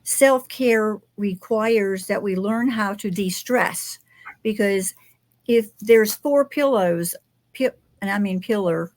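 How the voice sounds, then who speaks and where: A woman with a Texas accent hosting an interview, speaking in a soft, measured way. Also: mid-range voice, dry recording. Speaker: podcast host. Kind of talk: interview conversation.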